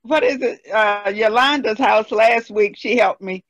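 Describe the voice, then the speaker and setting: Man, high bright voice, dry close sound, hesitant. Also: muffled recording. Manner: slow, searching for words. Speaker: program participant.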